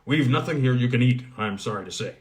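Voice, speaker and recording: A gruff, testy goblin smith from a storybook fairy tale, as a male narrator puts him on. He talks in blunt, commanding bursts, a little above the reader's usual pitch. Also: animated, fast, darkish tone, natural voice. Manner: brusque, commanding.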